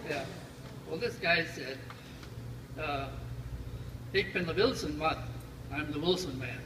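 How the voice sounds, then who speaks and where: A man's voice: a little high, slow. Older man reminiscing in a noisy, echoey room. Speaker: family storyteller. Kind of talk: casual reminiscing, storytelling. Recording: roomy, distant home recording with loud noise.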